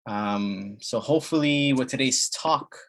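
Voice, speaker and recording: Halting bilingual family doctor. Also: male voice, mid-range voice, a bit bright. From Dominican Republic. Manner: halting, many fillers and restarts. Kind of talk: community health talk, bilingual.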